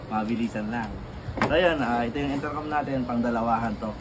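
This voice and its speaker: A man talks through an unboxing in a loose, chatty way, with plenty of fillers and laughs. His voice sits at an ordinary middle pitch. Loud noise and vehicle sounds run behind him. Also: a little fast. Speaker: moto vlogger. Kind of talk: casual unboxing vlog.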